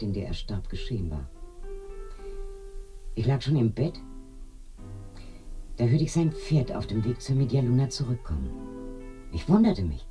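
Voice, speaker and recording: An actress playing an eerie village widow in a radio drama, confiding and animated, with a dark husky voice that sinks to murmurs. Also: female voice, a bass voice. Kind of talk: binaural radio drama acting.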